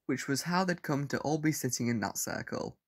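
A man's voice: a little high, brisk, breathy edge. A man narrating a scripted audio story as its narrator.

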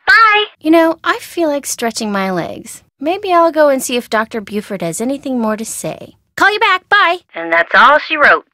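An actress playing a perky, nervous young woman sidekick in a video game, with an American accent and a chatty, wry, expressive delivery. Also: high voice, moderate pace, few pauses, no echo, studio quality, full character voice. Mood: cheerful. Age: young adult. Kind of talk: scripted video game dialogue, phone-call chatter.

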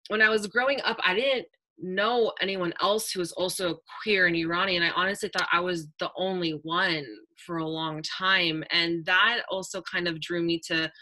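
A woman's voice: on the low side, steady tone, normal speed, pushed and bright. A young woman speaking on an online panel in an earnest, reflective way, with many "like"s and "um"s, over a webcam on a video call. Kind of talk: casual panel talk. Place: indoor room with a virtual backdrop.

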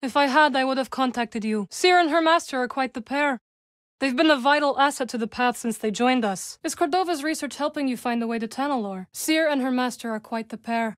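An actress voicing a composed, dry-witted young witch warrior in a video game, speaking in measured, formal phrases. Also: high-pitched, dry recording, close to an everyday voice. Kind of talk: video game character dialogue.

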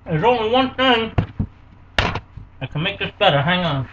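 A man, hosting a casual home cooking video in a small kitchen with energetic, hammy showman patter. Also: high voice, moderate pace, recorded from a distance, noisy background. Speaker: cooking show host. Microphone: camera mic close by. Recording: dull lo-fi webcam audio from a bit off-mic.

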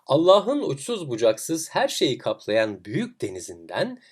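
A man voicing a kindly old sage in a fairy tale, compassionate, measured and sure of himself. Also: medium pitch, wildly swooping pitch, slightly rough, voice pushed up, exaggerated voice. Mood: assured teaching. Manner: soft, compassionate, assured, measured. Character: kindly, confident old male sage with grizzled beard.

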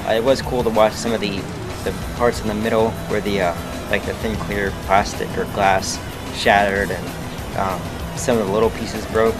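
A young man casually presenting a video to camera, recorded outdoors a few feet from the camera. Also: on the low side. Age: young adult. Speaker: video host. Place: outdoors in front of a screened porch.

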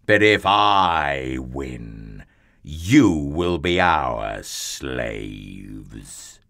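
A slow, bellowing, boastful giant. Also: male voice, sing-song, exaggerated voice. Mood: booming challenge. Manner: slow booming shouts, menacing and mocking. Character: terrifying, bellowing, cruel giant enemy soldier, huge and boastful. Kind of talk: children's storybook reading.

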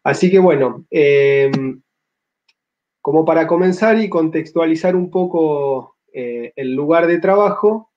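A man in his thirties with an Argentine accent, giving a measured academic slide talk over a video call, pausing often with filler sounds. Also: a little high. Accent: Argentine Spanish. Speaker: paleontology lecturer. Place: home room on a video call. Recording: slightly dull lo-fi audio.

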